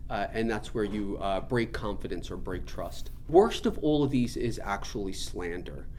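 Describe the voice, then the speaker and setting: A man's voice: fairly low pitch, animated, moderate pace, a little mellow, a little hiss or hum. A man giving an informal spiritual teaching talk, explaining things in an earnest, emphatic way.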